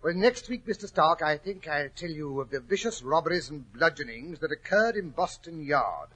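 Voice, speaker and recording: A genial English gentleman spins his tale in 1940s radio style. His voice is husky and fairly high, with a bright edge. He is chatty and courteous, and the old recording shows its age. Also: lively intonation, moderate pace. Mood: genial, storytelling.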